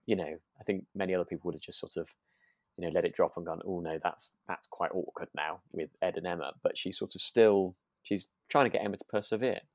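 A man's voice: low-pitched, fast, no room sound. A British man co-hosting a podcast in casual conversation, tentative and often rephrasing as he goes. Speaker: podcast co-host. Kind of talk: casual chat discussing a radio drama.

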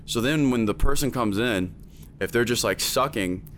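A man's voice: medium pitch, moderate pace, a bit bright, a little hiss or hum. A man working as a music producer and teacher, talking emphatically in a casual chat, with lots of fillers.

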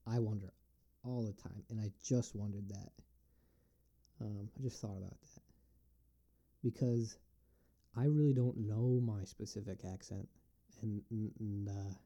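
Upstate new york guy rambling to camera, low voice, real dark and mellow tone, keeps restarting. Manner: rambling, halting, thinks aloud. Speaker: vlogger answering questions. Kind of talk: casual solo musing.

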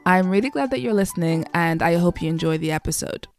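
A woman's voice: on the low side, animated, fast, soft background music. A woman hosting her own podcast, talking through ideas in a conversational, explanatory way, her voice nasal and congested from a cold. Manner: conversational, explanatory, thinking aloud. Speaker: podcast host. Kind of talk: solo podcast monologue.

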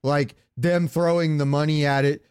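A man's voice: fairly high pitch, normal speed, clean tone, dry recording, studio quality. A man co-hosting a podcast, chatting casually and enthusiastically about games in slangy speech. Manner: animated fan enthusiasm, slangy. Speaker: podcast co-host. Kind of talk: casual gaming chat.

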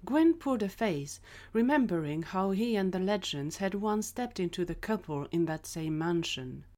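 A woman's voice: mid-range voice, lively intonation, moderate pace, dark tone. A woman narrator reading scripted story narration for an audio drama.

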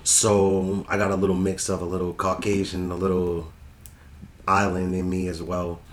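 A man's voice: deep voice, completely flat delivery, normal speed. A young man from Boston with a Boston accent, telling stories from his life as a guest in a casual chat, blunt and laid-back with street slang. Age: young adult. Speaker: podcast guest. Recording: echoey room with faint noise.

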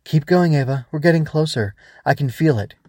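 A brave little female bug creature from a storybook, voiced by a man. She is protective and instructive, speaking in a lifted pitch with urgent encouragement, close and dry. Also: fairly quick, dark tone, dry recording, natural voice. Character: Swoosh, small female glowing Growbug, brave protective encouraging companion.

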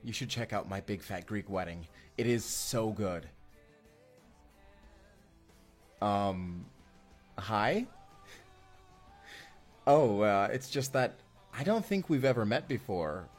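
A man's voice: fairly low pitch, full character voice. A man acting as a devoted, sweetly awkward young romantic in an immersive audio roleplay, speaking in an earnest, hesitant, conversational way. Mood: flustered, friendly.

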